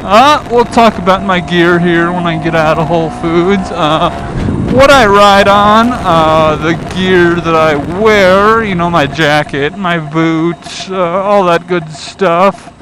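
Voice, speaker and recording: A man's voice: sits high, slow pace. Laid-back rambling man riding in traffic. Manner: chatty, laid-back, lots of "uh" and "you know". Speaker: motovlogger. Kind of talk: rambling casual vlog while riding. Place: outdoors on a scooter in city traffic. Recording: wind and traffic noise. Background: road and engine noise.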